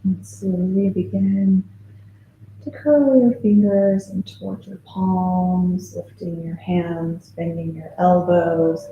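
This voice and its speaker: A woman leading a guided yoga nidra meditation as a teacher, speaking in a soothing, gentle way with long, unhurried pauses. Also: fairly low pitch, narrow, tinny audio. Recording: roomy echo with faint background noise.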